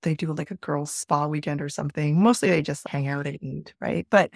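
A woman's voice: deep voice, fairly even intonation, fairly quick, gravelly, a little mellow, no room sound, studio quality. A middle-aged woman from Peru, a podcast guest, telling a thoughtful personal story with frequent restarts. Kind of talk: reflective conversational storytelling.

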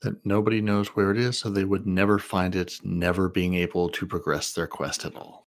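A man co-hosting a casual gaming podcast, dropping wry, deadpan remarks. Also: low voice, normal speed. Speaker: podcast co-host.

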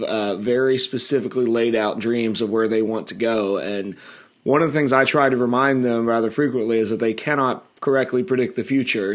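A middle-aged American man hosting an educational interview, chatty, with fillers and restarts. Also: mid-range voice. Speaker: podcast host.

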